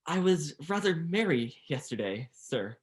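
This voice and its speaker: A young performer playing a meek, flustered Victorian gentleman in a 1930s-style radio play, hesitant and deferential, with a husky voice. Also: mid-range voice, sing-song, measured pace. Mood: meek, apologetic. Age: young adult.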